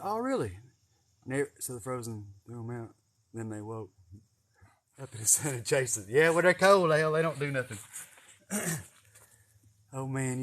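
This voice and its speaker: A man's voice: mid-range voice, a bit bright. A man with an East Tennessee Southern accent, rambling through folksy, profane stories in a casual livestream chat. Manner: folksy, profane, chuckling storyteller. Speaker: snake catcher hosting a live video. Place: greenhouse garden.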